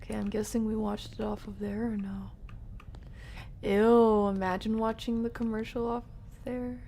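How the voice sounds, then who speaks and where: A young woman streaming a game from her room, chatting casually and playfully, rambling and often dropping to a breathy near-whisper. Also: on the high side, flat delivery, normal speed, dark tone, a little hiss or hum. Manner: playful, rambling, murmuring to herself. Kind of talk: casual live stream chat while playing.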